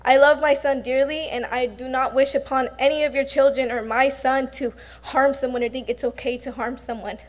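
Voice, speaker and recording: High-voiced young woman giving a speech. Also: steady tone, moderate pace, hardly any pauses. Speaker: student presenter. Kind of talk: prepared speech.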